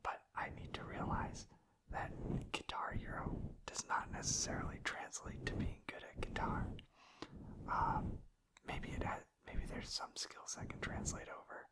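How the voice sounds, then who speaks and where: A man talks entirely in a soft, breathy whisper, as an ASMR creator would. He rambles in a casual, meandering way, feeling his way through each thought with hesitant phrasing. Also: unhurried, poor audio.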